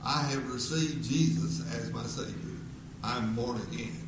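Preacher in his eighties, slow and earnest altar call, heard from far off in an echoey hall with noise. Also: medium pitch. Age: 80s. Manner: slow, earnest, repeated pleading questions. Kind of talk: closing sermon with altar call. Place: church auditorium. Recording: echoey auditorium from a distance with noise.

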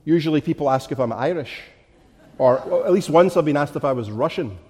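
A man's voice: fairly high pitch, animated, fairly quick, darkish tone, slight background noise. A man with a Glaswegian Scottish accent preaching a sermon in an earnest, rhetorical manner, leaning on emphatic repetition. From Glasgow, Scotland. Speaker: pastor. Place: large echoing church or hall. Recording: reverberant hall.

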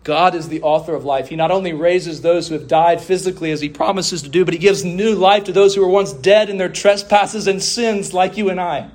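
Higher-voiced man preaching emphatically. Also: darkish tone. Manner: emphatic oratory with deliberate pauses and drawn-out phrases; rhetorical questions and repeated refrains. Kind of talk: sermon.

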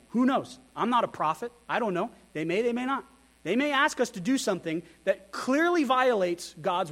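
A man's voice: sits high, a bit bright. A man preaching a sermon, candid and self-deprecating, who asks his listeners rhetorical questions. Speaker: pastor.

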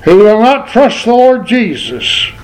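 An old man in his eighties preaching a sermon in a church, quoting scripture in slow, emphatic phrases. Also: very high voice, sing-song. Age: 80s. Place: church sanctuary. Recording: very echoey church from far off.

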